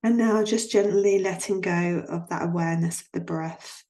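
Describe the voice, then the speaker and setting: A woman guiding a yoga nidra relaxation in a soothing, gently instructing manner, leaving long pauses between phrases. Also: fairly low pitch, fairly even intonation. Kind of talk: guided relaxation meditation.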